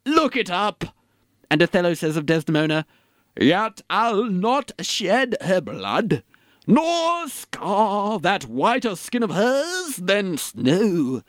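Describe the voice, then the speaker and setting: A man's voice: high voice, very animated, slow, no echo, studio quality, heavily acted. A man playing a pompous, oblivious thespian in a satirical radio sketch, with declamatory delivery. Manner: pompous, declamatory, throat-clearing. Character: pompous, oblivious male thespian with absurd convictions.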